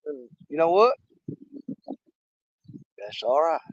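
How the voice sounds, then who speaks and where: A man hosting a fishing live stream outdoors at a small lake, hoarse and losing his voice, rambling in a folksy way straight to his viewers. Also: fairly high pitch, sing-song, takes it slowly, dry recording, muffled recording. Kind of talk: casual live-stream chat.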